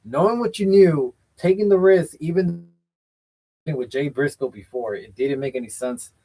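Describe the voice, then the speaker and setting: A man's voice: on the high side, normal speed, warm tone, rough recording. A man hosting a casual wrestling chat show, giving blunt, opinionated takes and often hedging with "I feel like". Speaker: podcast host.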